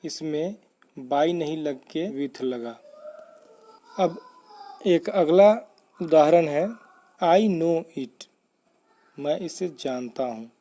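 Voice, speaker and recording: A man teaching English grammar in a deliberate, step-by-step, instructive manner, as in a scripted lesson. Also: on the high side, warm tone.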